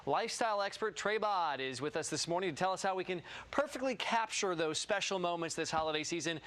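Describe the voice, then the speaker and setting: A man hosts a morning talk show with a bright, punchy voice that sits a little high. He interviews in an upbeat presenter style and moves along quickly. There is some noise behind him.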